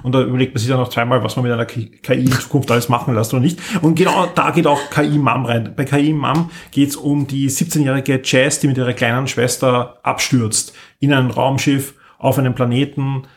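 A man's voice: mid-range voice, fairly even intonation, a little fast, few pauses, slight background noise. Enthusiastic, rambling man chatting. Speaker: podcast co-host. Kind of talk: casual podcast chat, recommending audio dramas.

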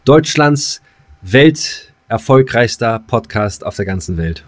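A man's voice: mid-range voice, lively intonation, takes it slowly. A man co-hosting a casual comedy podcast, jokey and mock-grandiose, often stopping to search for words. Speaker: podcast co-host.

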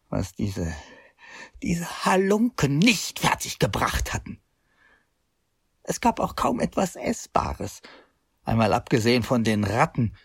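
A man's voice: fairly low pitch, breathy edge, no echo, studio quality, exaggerated voice. An actor playing a young crusade survivor recounting his ordeal in a radio play, bitter and agitated. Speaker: narrator.

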